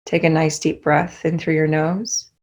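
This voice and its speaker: A young woman guides a meditation in a calm, soothing way. Her voice sits low and stays level, with little rise or fall. She takes her time, and every instruction comes out slow and soft.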